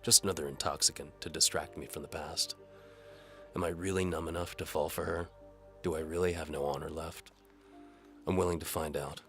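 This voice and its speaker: A man's voice: extremely low pitch, bright, forceful tone. A man narrating a hardboiled first-person audio drama in a world-weary, wry manner over music. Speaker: narrator and lead actor.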